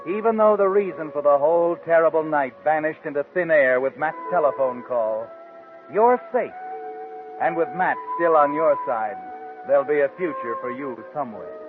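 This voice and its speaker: An actor playing a sinister, all-knowing narrator in a 1940s radio mystery, speaking dramatically and tauntingly to the protagonist. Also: male voice, a little high, animated, normal speed, close to an everyday voice. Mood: ominous, taunting. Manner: dramatic, taunting second-person delivery. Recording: muffled old radio, music underneath.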